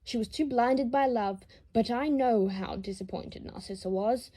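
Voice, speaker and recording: Haughty older male aristocrat, read by a woman, cold, scornful and formal, mellow tone, for dramatic fan fiction. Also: a little high, measured pace. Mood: scornful, accusing.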